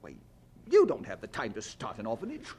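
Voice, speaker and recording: An actor playing a cautious, skeptical colleague in an audio drama, fussy and remonstrating. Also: male voice, on the low side, wildly swooping pitch, fairly quick, mellow, slightly rough, heavily acted.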